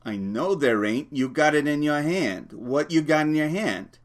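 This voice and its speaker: A man doing a gruff, scolding working man. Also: a little high, animated, a little slow, pitched above the performer's own voice. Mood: exasperated. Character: small, wiry, irritable working man. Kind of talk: audiobook character voice.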